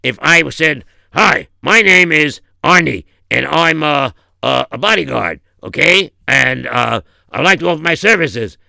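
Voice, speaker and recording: A man voicing a muscular, earnest bodyguard who speaks bluntly and haltingly in a comic story. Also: on the high side, lively intonation, gravelly, sharp tone, dry recording, clean studio sound, voice pushed up, exaggerated voice. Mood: annoyed, complaining. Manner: blunt, hesitant, plain-spoken.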